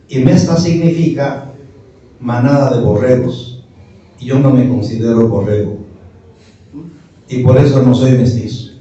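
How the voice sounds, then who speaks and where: A man's voice: medium pitch, dark tone. A middle-aged man from Peru, giving a didactic panel talk that argues point by point with rhetorical questions. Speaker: speaker at a history panel. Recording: distant, echoey, muffled room recording.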